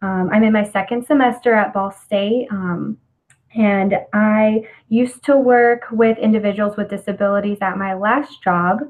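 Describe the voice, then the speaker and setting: A woman in her twenties from the United States gives a student research presentation. Her voice sits at a middle pitch, and she reads her prepared text in a measured, explanatory way. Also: steady tone, darkish tone, poor audio. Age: 20s. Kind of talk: scripted study presentation.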